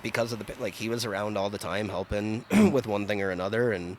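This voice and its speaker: A man's voice: on the low side, a little fast, a little hiss or hum. A middle-aged man from Arizona, a chef, talking casually on a podcast in a blunt, wry way and swearing freely.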